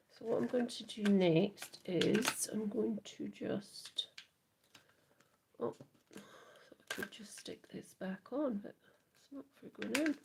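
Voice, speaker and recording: A Scottish woman hosting a live crafting demo, chatting softly to viewers and often trailing into whispers as she works. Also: mid-range voice, gravelly, slightly rough. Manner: soft, often trailing into whispers while working. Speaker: craft livestream host.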